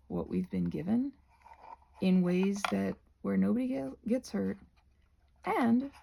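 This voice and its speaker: A woman rambling casually to viewers as she crafts, in a halting, digressive way that often doubles back on itself. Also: fairly high pitch, creaky, mellow, slight background noise. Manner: halting, digressive, self-interrupting. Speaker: craft and ASMR video creator.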